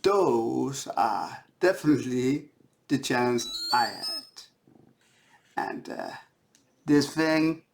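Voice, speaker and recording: A man from Denmark, a native Danish speaker with a Danish accent, talks as an author in a halting way, searching for words and repeating phrases. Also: medium pitch, animated, vocal fry, slight background noise. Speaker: indie author. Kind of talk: talking to camera about own writing.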